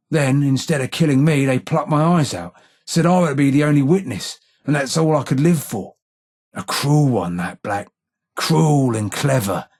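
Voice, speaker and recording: An actor voicing a gruff, sardonic old blind ghoul in a video game, with an English accent, a rough husky voice and blunt, salty slang. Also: male voice, fairly high pitch, darkish tone. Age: older. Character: gruff, sardonic old blind ghoul, gang boss's right-hand man. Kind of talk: video game character dialogue.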